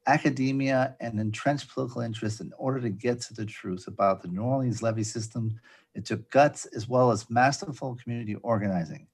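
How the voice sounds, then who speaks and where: A man from Providence hosting an interview, easing his guest in with long, halting introductions. His voice sits a bit low, and he restarts his sentences often and leans on ums. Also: a little mellow, husky. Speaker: podcast host.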